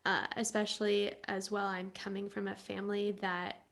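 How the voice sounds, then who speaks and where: Earnest woman with a creaky voice. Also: mid-range voice, flat delivery, moderate pace, fairly bright tone. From United States. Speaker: congregation member giving a personal reflection. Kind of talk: personal testimony at an online church service.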